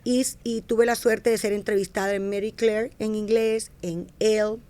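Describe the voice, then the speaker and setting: A woman's voice: mid-range voice, steady tone, normal speed, dark tone. A middle-aged woman, telling stories about her career as a podcast guest. She restarts and corrects herself often and acts out quoted speech. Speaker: podcast guest, fashion entrepreneur. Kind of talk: casual interview storytelling.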